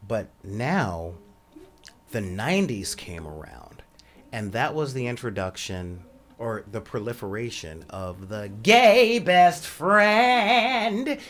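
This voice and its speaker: A soft-spoken man hosting a podcast, talking casually in a rambling monologue full of ums and asides. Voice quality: says he has a high voice. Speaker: podcast host.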